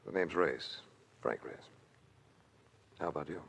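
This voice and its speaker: An actor playing a wry, hard-boiled adventurer in a 1940s radio detective drama. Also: male voice, a bass voice, measured pace, creaky, a bit bright, full character voice. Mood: tough. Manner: dry, wisecracking. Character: wry, hard-boiled male adventurer telling his own story. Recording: noisy old radio broadcast recording.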